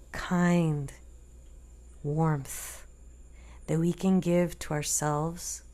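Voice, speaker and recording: A woman leading a guided meditation in a gentle, deliberate manner, leaving long pauses between short phrases. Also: low-pitched.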